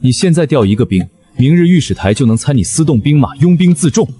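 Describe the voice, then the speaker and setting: A man playing a proud, shrewd imperial chancellor in a historical animated drama, speaking in a measured, admonishing way. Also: fairly high pitch, slightly rough. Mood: stern warning. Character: proud, aloof, shrewd male imperial chancellor, secretly a rabbit spirit. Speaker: voice actor in a costume drama.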